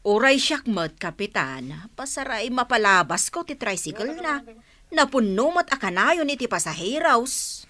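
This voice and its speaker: A woman's voice: on the high side, sing-song, unhurried, bright, forceful tone, exaggerated voice. A woman with an Ilocano accent acting a gossipy, insinuating village neighbour in a comedy radio drama. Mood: gossiping.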